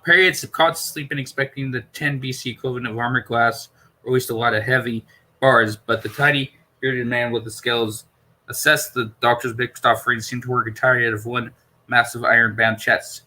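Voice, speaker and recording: Guy reading a sci-fi novel out loud, bright voice, trips on words sometimes. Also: mid-range voice, steady tone, fairly quick, low-quality recording. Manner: stumbles over words and repeats phrases. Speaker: amateur audiobook reader. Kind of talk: reading a science fiction novel aloud.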